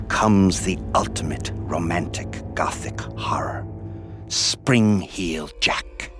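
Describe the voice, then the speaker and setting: A male trailer announcer with an extremely deep voice and a very slow, portentous delivery. He reads dramatic horror promo narration in a theatrical manner over prominent music.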